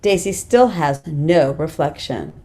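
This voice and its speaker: A woman's voice: low voice, animated, slow pace, mellow. A woman reading script directions in a plain, matter-of-fact way at a table read. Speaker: stage directions reader.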